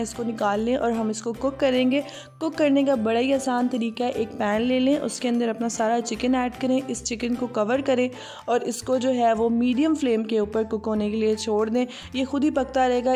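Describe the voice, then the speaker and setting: Woman explaining a recipe over music. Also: fairly high pitch. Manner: steady, explaining step by step with many fillers. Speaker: cooking channel host. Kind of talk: voice-over cooking instructions. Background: soft background music.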